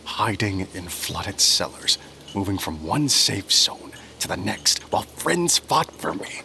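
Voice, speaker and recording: An actor playing a weary, hunted rebel leader in an audio drama, grave and guarded, speaking in clipped phrases. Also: male voice, sits low, big pitch swings, a little slow, creaky, sharp tone, slight background noise, full character voice. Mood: guarded, bitter. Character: weary, hunted male rebel leader and propagandist, earnest and irritable. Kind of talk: audio drama narration and dialogue. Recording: music underneath the voice.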